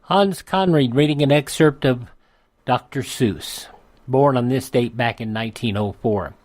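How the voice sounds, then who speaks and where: A man with an American accent hosting a radio show, reading an on-this-day almanac with chatty asides and drawn-out names. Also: mid-range voice, a little mellow, no room sound. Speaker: radio host. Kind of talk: scripted radio almanac narration. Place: radio studio.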